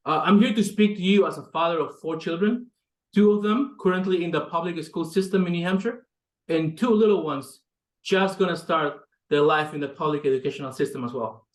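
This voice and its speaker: A man's voice: on the high side, a little fast, reverberant, rough recording. A man in his thirties, an advocacy director, giving an impassioned statement on a virtual press call. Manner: impassioned, emphatic speech with fillers.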